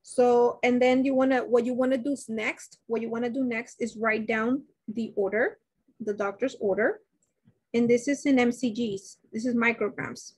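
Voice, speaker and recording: A woman's voice: on the high side, husky. Woman teaching dosage math, lots of restarts. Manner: thinks aloud with many restarts, asks class questions. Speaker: nursing instructor. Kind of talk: live online math lecture. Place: indoors, webcam beside shared slides.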